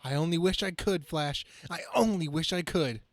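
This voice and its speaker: A man's voice: fairly high pitch, moderate pace, no room sound, clean studio sound, lightly acted. A man voicing a troubled, glum young man in a comic-book reading.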